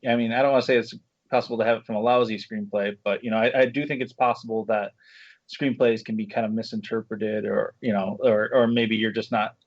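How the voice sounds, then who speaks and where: Man, interview guest, creaky voice, dry close sound, casual, thoughtful, halting delivery, dull recording. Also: lowish voice, level tone, dry recording. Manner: halting, thoughtful, circles back through rephrasings. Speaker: guest screenwriter, director and producer. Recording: dull, muffled lo-fi audio.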